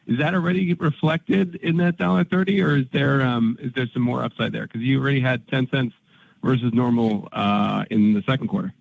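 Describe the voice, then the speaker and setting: A man's voice: medium pitch, fairly even intonation, brisk, gravelly, no echo, phone-quality sound. A man, a financial analyst, asking questions in a casual, upbeat, rambling way. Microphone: phone.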